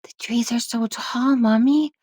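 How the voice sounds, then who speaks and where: Woman doing a little girl in an audiobook, high voice, eager n wide-eyed, childlike questions. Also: fairly even intonation, a little slow, darkish tone, no room sound, studio quality. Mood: awed, curious. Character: curious, wide-eyed little girl talking to grown-ups. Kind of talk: audiobook character voice.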